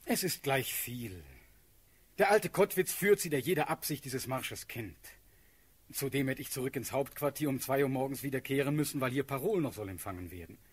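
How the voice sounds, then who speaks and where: Husky, dreamy young prince. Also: male voice, medium pitch, expressive. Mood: distracted. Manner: declamatory, halting, rapt. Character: dreamy, passionate young male prince and cavalry officer. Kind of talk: classical German stage verse in a radio play.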